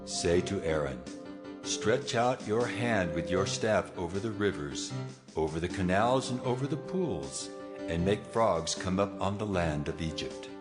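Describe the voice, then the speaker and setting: A man playing God in a dramatized Bible reading, speaking in a stern, measured, declamatory way. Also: low voice, lively intonation. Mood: solemn, commanding. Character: God, a solemn, commanding divine voice. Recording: music bed behind the voice. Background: music.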